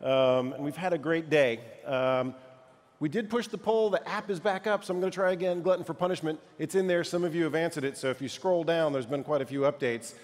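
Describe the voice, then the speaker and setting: A man's voice: fairly high pitch, brisk, a little mellow. Upbeat man hosting in a big hall. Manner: upbeat, chatty, loose with fillers. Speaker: keynote host. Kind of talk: hosting a conference keynote. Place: large conference hall stage. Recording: reverberant conference hall.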